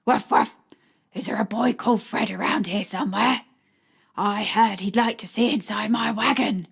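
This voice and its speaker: A woman's voice: medium pitch, fairly even intonation, normal speed, vocal fry, husky, exaggerated voice. A woman voicing a playful talking toy dog with a silly, teasing, barking manner in a storybook. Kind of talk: audiobook character voice.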